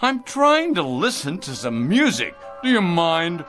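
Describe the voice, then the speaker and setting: Proud, bitter boss, wildly swinging pitch. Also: male voice, huge pitch swings. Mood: angry, commanding. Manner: domineering, halting, self-justifying. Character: proud, neurotic middle-aged company boss, bitter and wounded. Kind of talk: radio drama acting. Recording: radio drama with music underneath.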